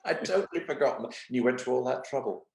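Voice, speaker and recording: A man's voice: medium pitch, lively intonation, fast talker, gravelly, very noisy. A man who performs in pantomime, reminiscing in a casual chat. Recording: roomy, echoing sound.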